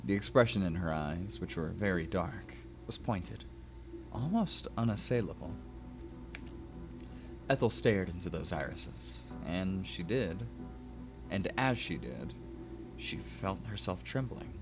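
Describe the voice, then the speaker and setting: Very deep-voiced male narrator over music. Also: animated, unhurried, long pauses. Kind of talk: story narration with playful asides. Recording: music behind the voice.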